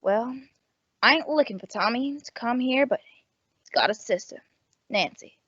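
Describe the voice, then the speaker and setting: Woman playing a blunt, high-voiced, gruff landlady. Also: unhurried, a bit bright, no room sound, highs cut off. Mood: guardedly cooperative. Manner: blunt, slangy, wisecracking. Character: gruff, suspicious, mercenary landlady of a rooming house. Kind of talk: old-time radio detective drama acting.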